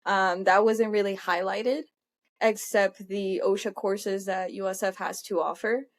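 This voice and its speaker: A woman's voice: mid-range voice, flat delivery, measured pace. A young woman from Puerto Rico, a Spanish speaker, talking earnestly as an interview guest with frequent fillers and self-corrections. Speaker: guest, industrial hygienist. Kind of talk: conversational interview answers.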